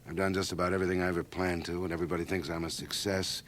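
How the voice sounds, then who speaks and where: Businessman, older, radio drama: deep, grumbling, world-weary skeptic, old cassette recording. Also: little pitch movement, rapid-fire, fairly bright tone. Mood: weary, restless. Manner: blunt, grumbling. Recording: 1980 radio drama, home cassette transfer.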